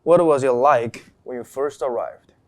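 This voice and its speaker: A man's voice: mid-range voice, measured pace, darkish tone. Teenage boy reading interview questions. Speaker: student interviewer. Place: school studio.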